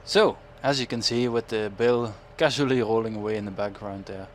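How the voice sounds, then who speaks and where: Dutch-accented man, low voice, game noise. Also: fairly quick. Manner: rambling, self-correcting, chuckles often. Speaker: gaming YouTuber. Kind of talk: casual gameplay commentary. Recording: game engine noise behind voice. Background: farm machinery and ambient game sounds.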